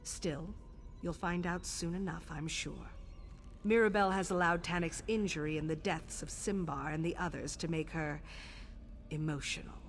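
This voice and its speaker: A woman voicing a cold, stern assassin matron in a fantasy game, speaking in measured, commanding phrases. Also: low voice, faint music behind.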